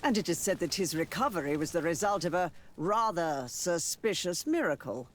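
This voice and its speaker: Proud, sceptical male church leader voiced by an actor, high-pitched, swooping delivery, formal game drama. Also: lively intonation, moderate pace, a little hiss or hum, natural voice. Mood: suspicious, indignant. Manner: formal, theatrical, probing. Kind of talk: video game cutscene dialogue acting.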